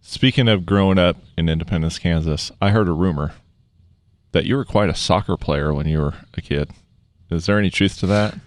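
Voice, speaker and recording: A man's voice: deep voice, brisk, no room sound. A man hosting a casual interview, agreeable and a little hesitant in his phrasing. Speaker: podcast host.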